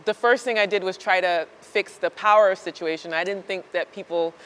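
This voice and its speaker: A woman's voice: on the low side, fairly even intonation, normal speed, a bit bright, clear, resonant tone. A woman in her thirties from the Chicago area, answering interview questions in an earnest, reflective way with many fillers and restarts. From United States (Chicago area). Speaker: startup founder, guest.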